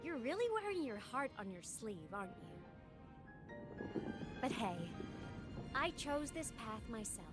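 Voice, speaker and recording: High-voiced plucky young game heroine. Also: female voice, very animated, slow pace, pauses often. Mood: teasing, upbeat. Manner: teasing, breezy slangy banter. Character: plucky young heroine, cheeky, brave and kindhearted. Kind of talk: video game character acting. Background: game music.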